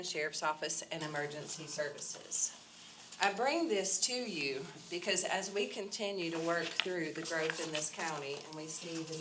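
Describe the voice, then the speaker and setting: A woman walks a council through a county budget, measured and formal, pausing on ums. Her voice sits low and sounds stuffy from a head cold. The meeting-room recording carries a steady background noise. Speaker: county administrator presenting budget. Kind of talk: budget presentation at public workshop. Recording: noisy public meeting recording.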